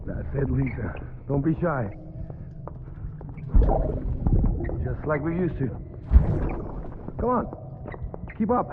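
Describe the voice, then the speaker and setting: A man's voice: mid-range voice, big pitch swings, a little slow. An actor playing a tender, coaxing family man in a cinematic video game scene. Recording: tinny, phone-like band, loud background noise.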